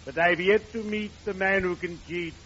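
An actor playing a morbidly genial undertaker in an old radio comedy, solemn, formal and punning. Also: male voice, high voice, fairly even intonation, a bit bright. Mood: droll. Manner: formal, grandly deliberate, punning. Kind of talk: 1940s radio comedy acting. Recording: dull, noisy old radio recording.

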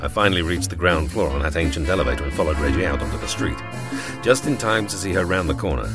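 Deep, animated wry thriller narrator. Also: male voice, fast talker. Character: wry man narrating his chase, persistent, suave. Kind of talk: radio drama first-person narration. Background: music.

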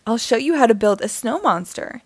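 Excitable young vampire girl for a picture book, acted by a woman: high, earnest, exclaiming. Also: a little fast, no room sound. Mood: excited. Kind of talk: children's storybook character voice.

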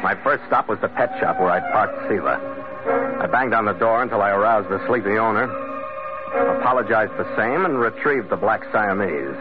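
An actor playing a tough, suspicious sea captain who investigates and narrates in a terse, hard-boiled radio detective style. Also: male voice, fairly low pitch, moderate pace, loud music behind the voice, natural voice. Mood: measured narrating. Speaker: radio drama lead and narrator. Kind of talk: 1940s radio detective acting, first-person narration. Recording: tinny old radio recording.

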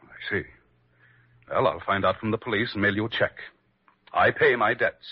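A man's voice: fairly low pitch, moderate pace, slightly rough. An actor playing a stubborn, self-righteous middle-aged father in an old radio drama, curt and formal. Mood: curt, cold. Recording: dull vintage radio recording.

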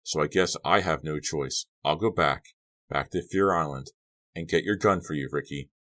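An anxious teenage girl weighed down by guilt, acted by a male narrator. The delivery is shaky and halting, as in a dramatic audiobook, in a low voice lifted a little. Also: a bit bright. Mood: resigned.

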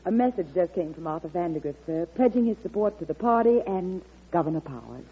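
A woman's voice: on the low side, expressive, fast, slightly rough. A woman acting a loyal, earnest aide to a president, proper and deferential, in 1940s radio style. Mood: earnest, polite. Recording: tinny old radio broadcast.

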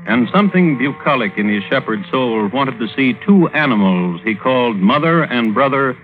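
An actor playing a wry storyteller, narrating in a measured, conversational vintage radio style. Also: male voice, medium pitch, expressive, soft background music, lightly acted. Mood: wry storytelling. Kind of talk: 1950s radio scripted narration. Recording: muffled, noisy old radio recording.

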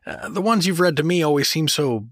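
A man's voice: a little high, animated, brisk, a bit bright, no room sound, clean studio sound. A man playing a well-meaning but clueless dad turned adventurer in a fantasy audio drama, hesitant and apologetic. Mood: apologetic, awkward.

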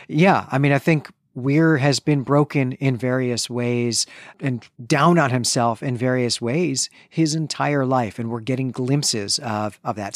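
A man's voice: mid-range voice, no room sound, clean studio sound. A man co-hosting a book podcast, methodically summarizing and reflecting on a novel's chapters. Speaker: podcast co-host. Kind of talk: casual book discussion.

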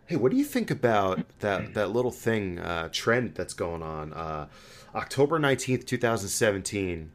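A man hosting a podcast, chatting casually about sports in a halting, conversational way. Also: lowish voice, big pitch swings. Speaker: podcast host.

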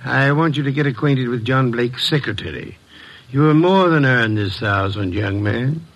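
An actor playing a shrewd, commanding older detective boss in a radio drama, speaking in a terse, pompous, deliberate way. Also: male voice, mid-range voice, heavy background noise, lightly acted. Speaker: private detective. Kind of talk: old-time radio drama acting.

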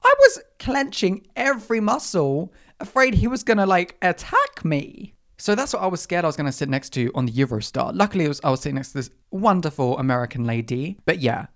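A young adult podcast host, slightly croaky after a run, telling stories in a sassy, dramatic way and acting out their own reactions. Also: animated, fairly quick, few pauses. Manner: sassy, dramatic, acts out its own reactions with big pitch jumps. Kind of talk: casual storytelling monologue.

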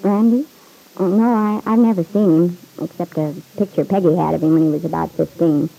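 A good-natured young woman sharing an apartment in a vintage radio serial. Her voice is lowish and mellow with a gravelly creak. She chats in a breezy, folksy, slangy way. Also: fairly even intonation, a little fast, poor audio. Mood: casual, chatty. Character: Lily, chatty, plain-spoken, good-natured young woman roommate. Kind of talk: old-time radio serial acting.

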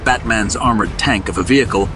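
Dude narrating an audio drama, lowish voice, dramatic read over music. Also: male voice, a little fast.

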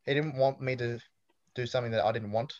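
A man's voice: mid-range voice, fairly even intonation, fast talker, a little mellow, muffled recording. Laid-back Australian guy in his twenties. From New South Wales, Australia. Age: 20s. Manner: laid-back, storytelling, dry humor. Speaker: interviewee. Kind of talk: casual interview chat.

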